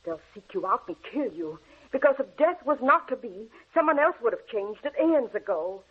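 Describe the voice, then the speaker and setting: Anxious wife, highish husky voice, melodramatic, pleading, dull vintage radio sound. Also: female voice, big pitch swings, moderate pace. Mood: pleading alarm. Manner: earnest, melodramatic. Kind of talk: 1940s radio drama acting. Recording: dull, lo-fi 1940s radio broadcast.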